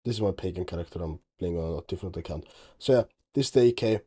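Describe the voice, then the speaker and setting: Rambling young Estonian guy, low mellow voice. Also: quick pace, slightly rough. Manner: rambling, self-correcting, offhand. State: tired. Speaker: game dev vlogger. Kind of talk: casual commentary over screen recording.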